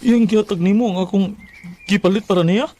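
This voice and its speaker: An actor with a Cebuano Filipino accent playing an earnest, easily hurt, lovelorn worker in a Bisaya radio drama. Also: male voice, high voice, fast, mellow. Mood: hurt, indignant.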